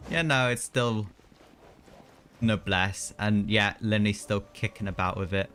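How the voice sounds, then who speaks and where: A man's voice: on the low side, measured pace, fairly bright tone. A young man doing casual live gameplay commentary, chatty and slangy with mild exclamations. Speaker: game streamer. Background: game music.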